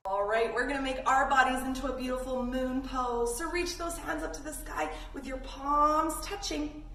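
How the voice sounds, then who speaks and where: A high-pitched woman teaches young children through playful movement games, encouraging them as she goes. She is recorded at a distance in a roomy space. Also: steady tone, moderate pace, pushed and bright. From Canada. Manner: encouraging, playful, with sound effects. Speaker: children's yoga instructor and host. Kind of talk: instructional play-along for young children. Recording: roomy, distant mic with faint noise.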